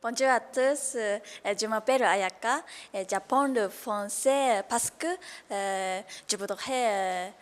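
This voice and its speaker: Slow, halting woman student. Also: medium pitch, big-room sound. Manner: halting, many fillers. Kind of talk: short spoken introduction.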